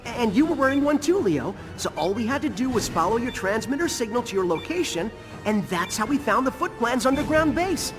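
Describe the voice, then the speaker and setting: An actor playing a brainy, logical young turtle inventor in a game, explaining things in a precise, nerdy way. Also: male voice, extremely high pitch, big pitch swings, brisk, music in the background. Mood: eager. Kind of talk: animated video game cutscene acting.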